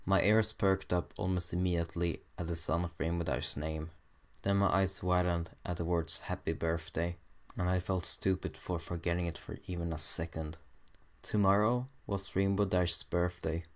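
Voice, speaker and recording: Man reading fan fiction, very low voice, hesitant, stumbles over words. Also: fairly even intonation, normal speed, fairly bright tone. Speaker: amateur story reader.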